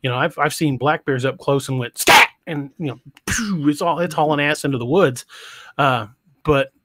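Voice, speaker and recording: A man's voice: fairly high pitch, normal speed, pushed and bright. Stammering man hosting a podcast. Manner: stammering restarts, chatty and joking. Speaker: podcast host. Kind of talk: casual livestream chat. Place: home room.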